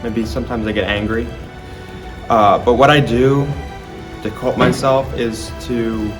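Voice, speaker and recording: A man's voice: medium pitch, steady tone, a little slow, poor audio. An eighteen-year-old man speaking in a documentary interview, reflective and hesitant, with fillers and restarts. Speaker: student filmmaker and interviewee. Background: background music.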